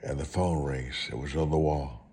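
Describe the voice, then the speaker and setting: A man preaching through personal storytelling, speaking in short, deliberate, emphatic phrases and repeating them for effect. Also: very deep voice, steady tone, a little mellow. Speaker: preacher and author telling testimony. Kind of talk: storytelling sermon monologue.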